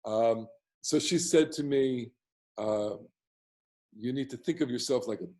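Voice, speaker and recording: A man with a baritone bass voice teaches prayer tunes from his home in a loose, chatty way. He wanders off into asides and often restarts his sentences, and his pitch sits in a middle range for a man. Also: unhurried, a little mellow. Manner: rambling, with many restarts and asides. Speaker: teacher of synagogue prayer melodies. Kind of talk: casual online class.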